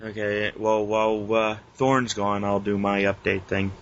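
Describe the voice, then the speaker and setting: A man's voice: fairly low pitch, monotone, unhurried. A man co-hosting a podcast, chatting casually with friends in a grumbling, profane way. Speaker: podcast co-host. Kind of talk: casual group chat. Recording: muffled lo-fi audio, background noise.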